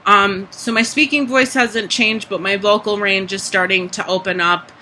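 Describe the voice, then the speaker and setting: Brief: young adult vlogger, high voice, very bright tone, easy conversational delivery, light background hiss. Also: fairly even intonation, normal speed, low-quality recording. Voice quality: high speaking voice. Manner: candid, chatty, frequent "like" and "um" fillers. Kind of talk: casual personal vlog update.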